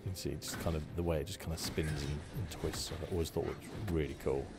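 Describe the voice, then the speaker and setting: A man giving relaxed ASMR game commentary, musing and rambling with a hesitant delivery. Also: rumbling low, fast, very noisy. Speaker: gaming channel host.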